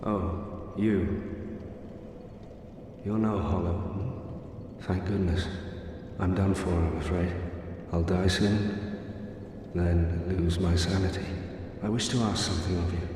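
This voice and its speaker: Dying knight, low voice, slow halting delivery, courteous, resigned, video game drama. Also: male voice, fairly even intonation. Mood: weary, pleading. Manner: halting, weak, solemn. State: dying, fading strength. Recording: roomy, distant, long reverb, noisy ambience.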